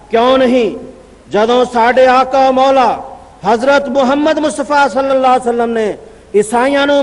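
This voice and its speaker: A Punjabi-accented man delivers a formal religious address with a strikingly high voice. He speaks in measured, declaiming phrases and stops now and then to cite his sources. A long reverb trails each line through the large hall. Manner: declaiming with long pauses, reading out citations. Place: convention gathering.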